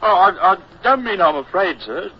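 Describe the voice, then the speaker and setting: High-voiced, frightened, faltering sailor. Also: male voice, expressive, normal speed, crowd noise. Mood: anxious, apologetic. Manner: faltering, stammering, plaintive. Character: frightened young sailor, sickly and pleading. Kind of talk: 1950s radio drama acting. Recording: muffled, noisy old radio recording.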